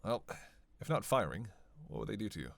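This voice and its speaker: A man's voice: fairly low pitch, very animated, gravelly, a bit bright, no room sound, heavily acted. A man playing a witty, bloodthirsty vampire in a teasing roleplay monologue, sardonic and conversational. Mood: mocking. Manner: sardonic, playful, conversational with sighs and pauses. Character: teasing, witty, bloodthirsty male vampire, centuries-worn cynic. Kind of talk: flirtatious dark roleplay monologue to one listener.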